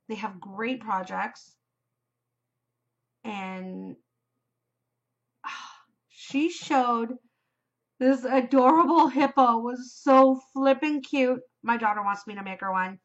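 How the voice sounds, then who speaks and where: Chatty Canadian woman host, loud and enthusiastic, dull home mic. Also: fairly high pitch. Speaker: knitting podcast host. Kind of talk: casual chat. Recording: slightly dull home recording.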